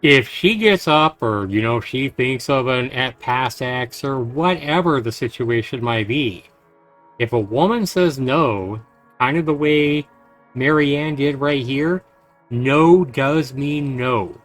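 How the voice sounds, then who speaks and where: A man's voice: mid-range voice, takes it slowly, a bit bright. A man hosting a game livestream, reading the story text aloud and adding earnest, casual commentary straight to his listeners. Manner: earnest, talking directly to listeners. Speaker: livestream host playing a visual novel. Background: faint game music.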